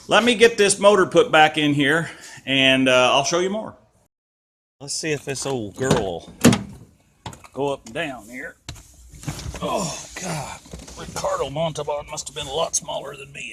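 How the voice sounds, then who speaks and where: Folksy American man, bright voice. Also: mid-range voice, a little hiss or hum. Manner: folksy, colloquial, rambling. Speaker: DIY car repair vlogger. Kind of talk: casual hands-on repair commentary to camera.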